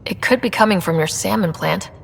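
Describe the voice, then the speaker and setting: A woman playing a calm, capable young space explorer in a video game, composed and understated. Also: mid-range voice, fairly quick, creaky, a little hiss or hum, close to an everyday voice. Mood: serious. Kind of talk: video game dialogue acting.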